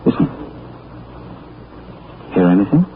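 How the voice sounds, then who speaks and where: An actor playing a refined gentleman detective in a 1940s radio drama, with clipped, polished diction. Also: takes it slowly, natural voice. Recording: scratchy, tinny 1940s radio broadcast.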